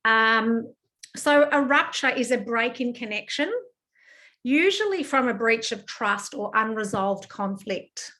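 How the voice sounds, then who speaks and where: A woman with a croaky voice from a sinus problem, leading an online counselling group session in a gentle, reassuring way with many ums and restarts. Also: fairly high pitch, expressive, measured pace. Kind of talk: live video-call talk to a group. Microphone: computer mic on a video call.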